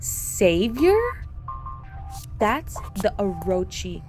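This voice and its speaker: A woman's voice: mid-range voice, big pitch swings, very slow, music in the background, heavily acted. A woman playing a blunt, defiant, hardened fighter in a radio drama, with a commanding, emphatic delivery. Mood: angry disbelief.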